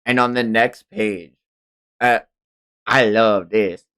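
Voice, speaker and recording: A man's voice: lowish voice, fairly even intonation, takes it slowly, a bit bright, clear, resonant tone. A man hosting a livestream manga read-along, narrating dramatically and dropping into informal, sweary commentary. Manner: profane, informal asides. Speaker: livestream host and reader.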